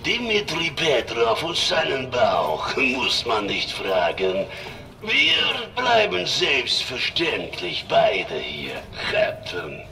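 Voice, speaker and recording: A man playing a loyal, unpretentious spaceship crewman in a sci-fi audio drama, speaking deliberately. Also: mid-range voice, huge pitch swings, creaky, heavily acted. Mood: loyal, curious. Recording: loud music behind.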